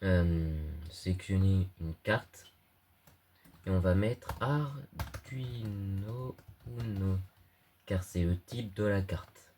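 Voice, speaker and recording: A man's voice: low voice, drawn-out words, darkish tone, slight background noise. A young man with a cold talks through an electronics project casually, hesitating often and using slang. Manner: hesitant, many fillers, slangy. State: stuffy with a cold. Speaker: hobbyist electronics youtuber. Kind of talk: casual screen-recorded tutorial.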